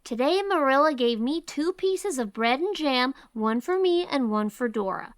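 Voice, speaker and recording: A woman's voice: sits high, expressive, normal speed, dry recording, clean studio sound. A woman voicing a childlike, earnest, sulky little boy in an audiobook drama, with plain-spoken ungrammatical talk. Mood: proud, confident.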